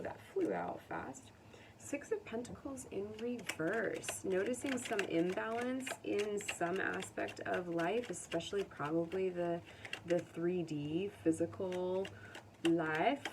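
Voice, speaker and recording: An American woman talks through a tarot reading in a fairly low voice. She is conversational and unscripted, musing and pausing as she thinks aloud to her viewers. The mic sits at some distance, with faint noise behind her. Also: creaky. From United States. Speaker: tarot reader.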